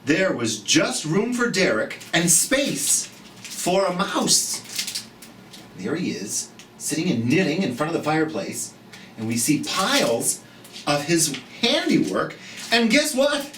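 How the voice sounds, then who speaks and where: A children's storytime host reading a picture book aloud, slowly and expressively, with chatty asides to young listeners. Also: mid-range voice. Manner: slow, expressive, speaking directly to children. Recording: roomy, distant mic, background noise.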